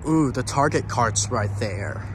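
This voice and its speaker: A man's voice: mid-range voice, expressive, unhurried. An American man giving a casual walking tour as a vlogger, rambling and repeating himself as he goes. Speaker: vlogger touring abandoned buildings. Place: outdoors, walking around a building and parking lot. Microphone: handheld camera or phone. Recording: outdoor handheld recording with clear ambient noise.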